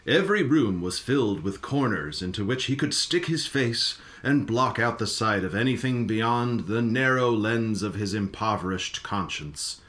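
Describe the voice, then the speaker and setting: A man delivers dramatic storybook narration for a radio play. He takes it slowly, with a mid-range voice that rises and falls expressively. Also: medium pitch, fairly bright tone.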